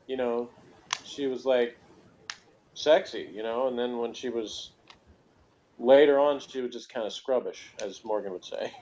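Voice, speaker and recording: A man's voice: mid-range voice, measured pace, pauses often, a little mellow, highs cut off, a little hiss or hum. A man chatting casually as a reviewer, rambling and jokey, with pop-culture asides. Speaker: podcast reviewer.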